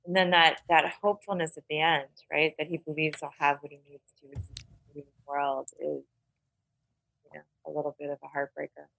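A woman's voice: low-pitched, flat delivery, brisk, creaky, a bit bright, no echo, low-quality recording. A woman talking casually about literature as a podcast panelist, thoughtful and often checking in with "right?".

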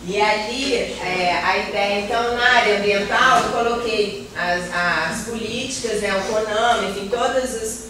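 A woman's voice: medium pitch, a little slow, few pauses, a bit bright. A middle-aged woman with a Brazilian accent gives an informal lecture to a class in a hesitant, self-deprecating way, repeating words as she searches for the next one. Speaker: guest lecturer, environmental civil servant. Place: classroom with projector, indoors. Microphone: far camera. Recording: roomy, noisy classroom recorded from far off.

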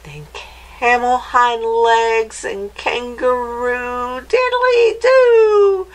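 A woman voicing a gleeful little old witch with a high, screechy voice, chanting spells theatrically in a children's storybook. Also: lively intonation, extremely slow, heavily acted. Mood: gleeful, excited. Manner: theatrical, exclamatory, chanting nonsense rhymes.

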